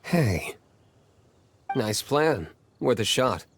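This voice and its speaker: A man's voice: medium pitch, sing-song, extremely slow, noisy background, exaggerated voice. A man playing a cool, sardonic young nobleman in a video game, with dry, terse delivery. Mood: cool, determined. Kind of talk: scripted video game voice acting.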